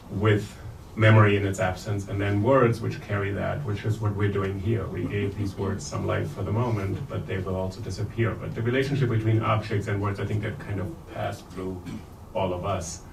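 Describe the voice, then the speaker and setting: Man, audience questioner. Reflective and deliberate, with a fry-heavy voice, heard off-mic in a roomy space. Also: on the low side, fairly even intonation. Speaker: audience member asking a question. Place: bookstore event room. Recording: echoey room, distant mic, faint noise.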